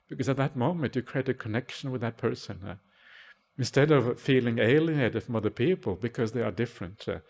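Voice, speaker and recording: A man with a husky voice and a middle pitch answers questions at a monastery meditation retreat. He speaks gently and haltingly, pausing often on "uh" fillers between his thoughts. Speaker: Buddhist monk answering questions. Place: retreat hall at a forest monastery.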